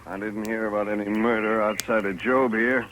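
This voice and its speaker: A man's voice: lowish voice, steady tone, moderate pace, lightly acted. Defiant frontier rancher played by a man. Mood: bitter. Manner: curt, challenging. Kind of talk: 1950s radio acting. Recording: faintly noisy old radio recording.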